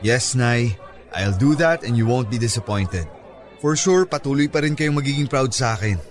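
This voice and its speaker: An actor playing a respectful, devoted young adult son with a Filipino accent in a radio drama. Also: male voice, mid-range voice, animated, normal speed, natural voice. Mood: earnest, devoted. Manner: earnest, polite.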